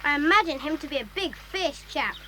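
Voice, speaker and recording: A woman's voice: high voice, animated, a little fast, pushed and bright, a little hiss or hum, poor audio. A woman voicing an eager, chatty schoolboy in a children's audio drama. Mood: excited, amused.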